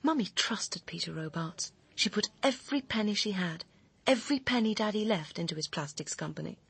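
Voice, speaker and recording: An actress with a British accent playing a bitter, persuasive young personal assistant with secrets in a radio crime drama, speaking in a pressing, cutting, articulate manner. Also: female voice, mid-range voice, expressive, a little fast, gravelly, a bit bright, husky, exaggerated voice.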